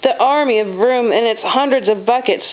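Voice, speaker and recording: Higher-voiced mother reading a storybook, dull recording. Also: moderate pace. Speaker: mother reading aloud to children. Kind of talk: storybook read-aloud narration.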